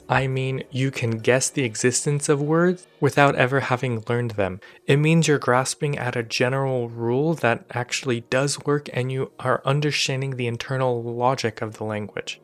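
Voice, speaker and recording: A young man presents a scripted explainer with casual asides and a self-deprecating touch. His pitch sits in the middle of the male range. Faint music plays behind his voice. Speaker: language-learning video host.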